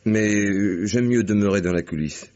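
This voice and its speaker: A calm, measured investigator, played by an actor with a lowish voice in a vintage radio play. He speaks with quiet, faintly amused assurance. The sound is dulled like an old broadcast. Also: lo-fi sound, close to an everyday voice. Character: calm male police investigator. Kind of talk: 1950s French radio drama acting.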